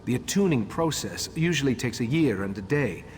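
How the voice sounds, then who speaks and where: An actor playing a scholarly, pensive elf ritemaster in a fantasy video game, formal and musing. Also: male voice, medium pitch, lively intonation, moderate pace, natural voice. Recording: long hall reverb, faint background noise.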